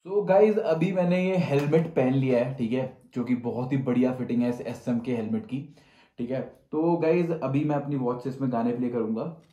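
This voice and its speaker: A man's voice: mid-range voice, normal speed, mellow. A man gives a casual, conversational review to camera, mixing in English words. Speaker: motorcycle gear reviewer. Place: living room, indoors. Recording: echoey room sound.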